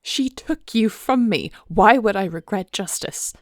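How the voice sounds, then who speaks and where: A woman's voice: medium pitch, animated, measured pace, dry recording, clean studio sound. A woman playing a devoted dragon queen and wife, fierce yet tender, speaking formally and intimately in an audio roleplay. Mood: cold fury. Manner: intimate, formal storybook speech.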